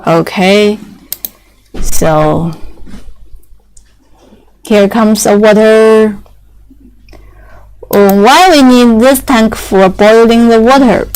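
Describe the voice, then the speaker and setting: A young woman whose first language is Chinese, explaining factory machinery in halting English with many fillers and restarts. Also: fairly high pitch, darkish tone, smooth, poor audio.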